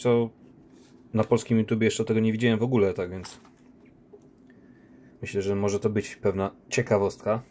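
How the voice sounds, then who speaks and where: A man unboxing silver coins, talking casually on a phone recording with a relaxed, chatty manner. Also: fairly low pitch, slight background noise. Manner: relaxed, chatty, with many diminutives and fillers. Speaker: coin collector unboxing silver. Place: indoor room by a window. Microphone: phone camera.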